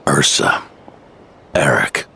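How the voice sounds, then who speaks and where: A man voicing an aging, stubborn veteran knight near retirement, genial and gruff, in a game cutscene. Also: sharp tone, heavily acted. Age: older.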